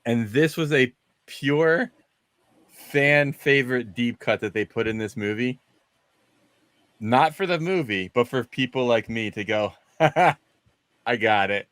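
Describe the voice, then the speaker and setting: A man's voice: mid-range voice, unhurried, long pauses, a bit bright, no room sound. Man chatting about film, very dry close sound. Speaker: panelist on a movie discussion show. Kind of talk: casual film discussion.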